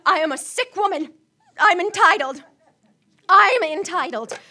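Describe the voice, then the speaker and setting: An actress playing a frantic, self-pitying, demanding invalid wife in a melodramatic radio play, with a stammering, imperious way of talking. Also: female voice, very high voice, lively intonation, a little slow, pushed and bright, heavily acted. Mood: despairing, tearful. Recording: live stage recording.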